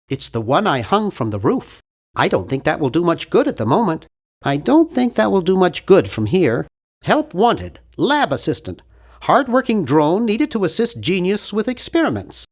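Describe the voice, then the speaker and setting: A man's voice: high-pitched, wildly swooping pitch, moderate pace, heavily acted. An actor playing a nerdy, earnest, awkward young man in an adventure game, with a geeky, fussy, wryly polite delivery. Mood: matter-of-fact, fussy. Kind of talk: scripted video game voice lines.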